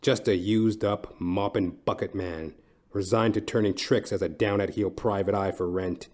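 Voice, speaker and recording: A man plays a hardboiled, down-at-heel private detective in a comic noir audio play. His voice sits fairly low, and he delivers his wry lines deadpan, with measured dramatic pauses. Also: lightly acted. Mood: world-weary.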